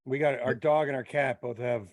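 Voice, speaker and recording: A man's voice: medium pitch, steady tone, moderate pace, no room sound. A man chatting casually on a group call, informal and blunt, checking that listeners follow. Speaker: club member on a group call. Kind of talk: casual chat.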